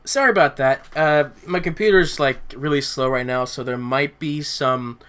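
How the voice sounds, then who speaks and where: A young man who hosts a podcast, chatting casually and haltingly, repeating words as he thinks. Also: medium pitch, fairly bright tone, clear, resonant tone. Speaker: podcast host and amateur voice actor. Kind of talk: casual chat.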